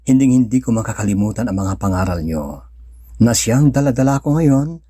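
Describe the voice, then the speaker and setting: An actor playing a devout, tender young husband and father in a sentimental radio drama, speaking gently and sincerely with a Filipino accent. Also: medium pitch, animated, normal speed, no echo, clean studio sound, natural voice. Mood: grateful, respectful. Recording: music bed under the voice.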